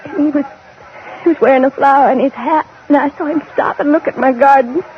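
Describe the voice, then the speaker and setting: Actress as a high-voiced grieving widow, old radio. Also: female voice, close to an everyday voice. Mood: anguished, haunted. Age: middle-aged. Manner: halting, emotional, repeating phrases. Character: grieving widow in her thirties, bitter and haunted. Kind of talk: old-time radio drama acting. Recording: muffled old radio recording, faint noise.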